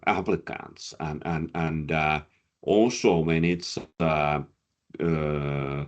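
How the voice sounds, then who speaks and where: A man's voice: very deep voice, fairly even intonation, takes it slowly, muffled recording. A man coaching job seekers, answering audience questions with many fillers and repeated words, a Finnish speaker talking in English. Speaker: career coach and presenter.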